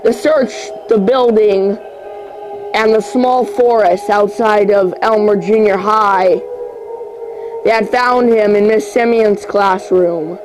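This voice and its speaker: Amateur creepy-story reader, slow and halting, mid-range voice, frequent stumbles, prominent music underneath. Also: medium pitch, fairly even intonation, rough recording. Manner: halting, stumbles over words and repeats phrases. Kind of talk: amateur horror story reading.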